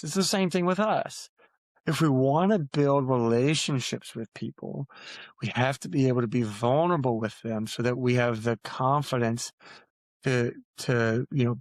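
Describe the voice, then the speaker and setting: A man's voice: medium pitch, no room sound. Guy being interviewed as an author, mid-range voice, very dry recording, thoughtful and emphatic, tells stories. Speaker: guest author. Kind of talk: earnest conversational interview answers.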